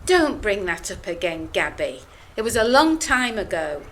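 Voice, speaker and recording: A woman playing Lily, an earnest, reserved middle-aged woman who speaks in a slow, subdued, defensive way in an audio drama. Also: mid-range voice, big pitch swings, fairly bright tone. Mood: withdrawn.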